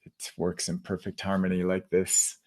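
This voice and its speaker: A man hosting a tech podcast, giving upbeat shout-outs in a relaxed, casual way with fillers. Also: deep voice, normal speed. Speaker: podcast host.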